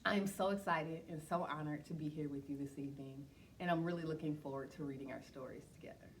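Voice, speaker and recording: A woman reading a rhyming picture book aloud as a storyteller, expressive and emphatic, with questions and asides to her listeners. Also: low voice, fast talker, slight background noise.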